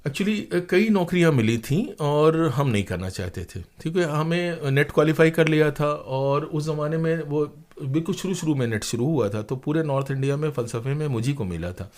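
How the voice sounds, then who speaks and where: A man's voice: a little high, expressive, normal speed, few pauses, a little mellow. An older man, telling stories conversationally as an interview guest, with digressions and acted-out quotes. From India. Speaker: interview guest, broadcaster and playwright. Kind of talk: conversational anecdotal storytelling.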